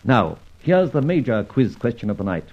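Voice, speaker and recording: An actor playing a suave adventurer sleuth in an old radio drama, speaking in an articulate, wry, theatrical manner. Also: male voice, medium pitch, big pitch swings, moderate pace. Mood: wry, probing. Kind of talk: old radio drama acting with scripted narration. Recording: muffled old radio recording with music.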